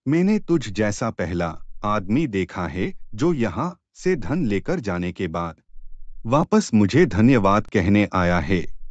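Cartoon genie, played by a male narrator: brash, generous, slangy, very animated pitch, unhurried, dry sound. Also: dry recording, highs cut off. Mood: pleased. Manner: slangy, reassuring, boastful. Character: blue male forest genie, brash but generous helper.

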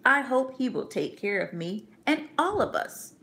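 A woman reading aloud and voicing a devout mother who speaks softly and earnestly to her little son. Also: a little high, sing-song, a little slow, pitched above the performer's own voice, full character voice. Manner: soft, earnest, coaxing.

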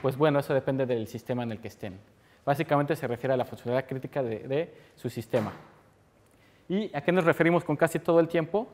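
A man's voice: medium pitch, fast, darkish tone, a little hiss or hum. A man in his thirties from Mexico with a Mexican Spanish accent, giving a technical conference talk and answering his own questions as he explains. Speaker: conference speaker. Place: lecture room with audience.

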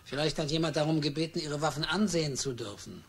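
A man's voice: fairly high pitch, quick pace, vocal fry. An actor playing a man probing a shopkeeper with insistent questions in a German radio play. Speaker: investigating protagonist.

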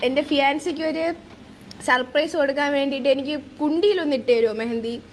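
A woman's voice: sits high, brisk. A woman making a casual prank phone call, asking short, innocent-sounding questions. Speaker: prank caller posing as a customer. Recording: noisy replayed phone call.

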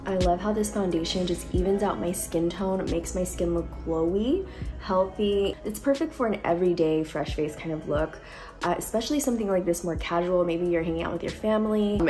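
Young woman beauty vlogger, cold-deepened voice, chatty, phone mic at home, faint music under. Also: brisk, warm tone. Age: young adult. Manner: chatty, lots of likes and ums. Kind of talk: casual makeup tutorial. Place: bright room at home. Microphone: phone camera at close range.

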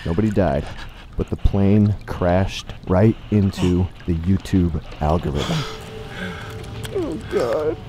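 A man's voice: deep voice, lively intonation, slow, over music. A man voicing a laid-back, crude male coworker on the radio, offhand and teasing. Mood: dramatic. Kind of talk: comedic sketch voice acting.

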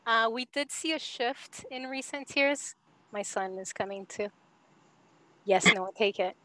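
A woman's voice: fairly high pitch, a little slow, noisy background. A woman in her thirties, originally from Brazil, speaking as a panelist on a video call, with many fillers. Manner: hesitant, many fillers, laughs easily. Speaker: panelist, architect. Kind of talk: casual panel talk. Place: home.

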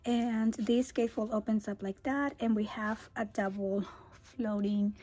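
A woman hosting a scrapbooking tutorial, hoarse and half-whispering as she loses her voice, chatty with small laughs as she walks through her album. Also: mid-range voice, fairly even intonation, unhurried, mellow. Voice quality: husky. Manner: casual, chatty, laughs between phrases. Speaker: scrapbooking channel host. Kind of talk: craft tutorial walkthrough. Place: indoors at a craft table.

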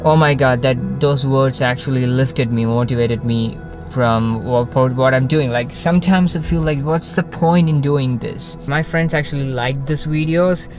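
A man's voice: mid-range voice, moderate pace, few pauses. Young Malayali man rambling in a car. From Kerala, India. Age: young adult. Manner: rambling, frequent restarts and self-corrections. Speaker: vlogger. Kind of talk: unscripted casual talk to camera. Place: inside a parked car. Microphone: camera on the dashboard facing him. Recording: background music under the voice. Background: background music.

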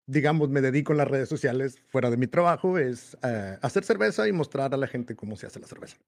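A man's voice: medium pitch, lively intonation, fast talker. Mexican man, 40s, chatty guest on a video call, filler-heavy storyteller. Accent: Mexican Spanish. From Monterrey, Mexico. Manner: rambling, with many "eh" and "este" fillers. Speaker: guest homebrewer. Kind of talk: storytelling interview answer. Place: home room. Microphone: desk mic on video call.

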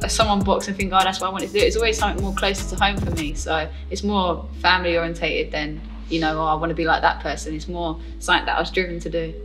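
A woman's voice: fairly low pitch, flat delivery, quick pace, few pauses, rough recording. A woman, a professional boxer chatting casually in an interview at a gym and laughing often. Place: boxing gym, indoors. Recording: music playing under the voice.

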